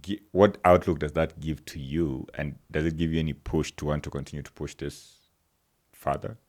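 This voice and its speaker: A man hosting a podcast, gently asking his guest questions with some hesitation. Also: very deep voice, steady tone, normal speed. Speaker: podcast host. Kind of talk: interviewing a guest.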